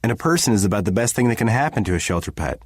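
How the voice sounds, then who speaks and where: A man's voice: lowish voice, animated, racing, breathy edge, no echo, studio quality. A man voicing an easygoing, wry shelter dog in a public service ad. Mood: wry, friendly.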